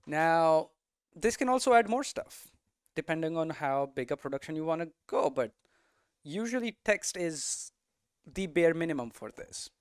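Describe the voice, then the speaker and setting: A man giving an informal explanatory lesson as a presenter, posing questions and answering them himself in halting, emphatic phrases. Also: on the high side, big pitch swings, clean tone, dry recording, studio quality. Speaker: presenter of a game development explainer series.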